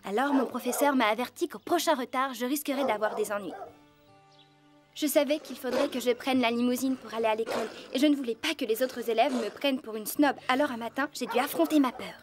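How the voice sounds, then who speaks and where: Woman as a high-voiced, earnest cartoon teenage girl. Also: a little fast, over music, natural voice. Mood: earnest, reassuring. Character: kind, earnest, encouraging teenage girl horse rider. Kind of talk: French cartoon dubbing.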